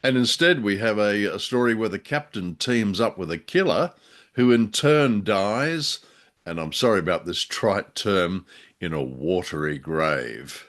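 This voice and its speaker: Male podcast co-host, lowish pitch, conversational review style, measured explanatory delivery. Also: expressive, fairly bright tone.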